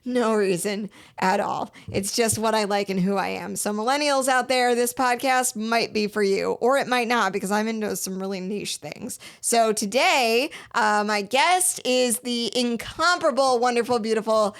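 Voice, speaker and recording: A woman's voice: on the high side, normal speed, hardly any pauses. A woman in her thirties from Chicago, hosting a podcast in casual, playful chat and laughing often. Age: 30s. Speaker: podcast host.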